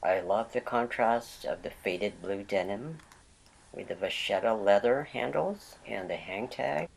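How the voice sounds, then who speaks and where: A vlog host narrating a product unboxing with a voice left raspy by a lingering cold. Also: deep voice, a little slow, rough recording.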